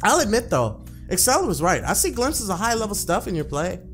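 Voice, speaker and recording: A man's voice: high-pitched, very animated, fairly quick, a bit bright, soft background music. A man voicing a blunt, demanding young female pro gamer in a visual novel, with a bossy, no-nonsense manner.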